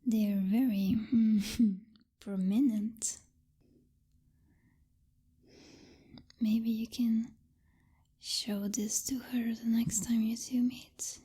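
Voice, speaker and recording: A woman acting as a possessive vampire girlfriend in an intimate ASMR roleplay. Her whisper is very breathy and very soft-toned, unhurried as she teases, dominates and coddles with condescending pet names. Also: fairly high pitch, fairly even intonation, exaggerated voice. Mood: coddling, smug. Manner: slow, purring, teasing, condescending pet names.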